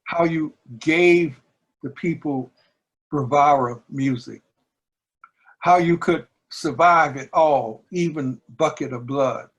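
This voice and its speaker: A man, speaking in a measured, deliberate way with pauses, discussing and reading poetry. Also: a little high, slightly rough, dull audio. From New Orleans, United States. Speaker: book editor and poetry reader.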